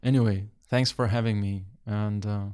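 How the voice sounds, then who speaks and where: Man, podcast host, somewhat low voice, rambling explanations. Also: fairly even intonation, normal speed, a little mellow, smooth. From Russia. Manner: rambling, explanatory, many fillers. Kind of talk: casual interview chat.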